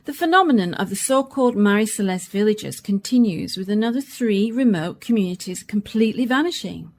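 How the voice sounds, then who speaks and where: An actress playing a serious radio announcer in a science fiction radio play, reading formally. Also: female voice, medium pitch, expressive, fairly quick. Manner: formal, orderly bulletin delivery. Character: serious female radio news announcer reporting alien crisis. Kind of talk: radio play acting as scripted news reading.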